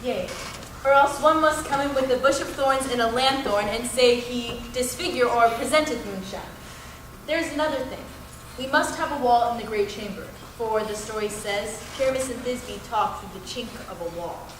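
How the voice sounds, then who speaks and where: An echoey, noisy stage recording of an organizing play director played by a woman. The voice is high, earnest and instructing, marshalling amateur players in Shakespearean comedy. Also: moderate pace, natural voice. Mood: earnest, practical. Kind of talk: theatrical Shakespearean stage acting. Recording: echoey room, distant mic, noisy.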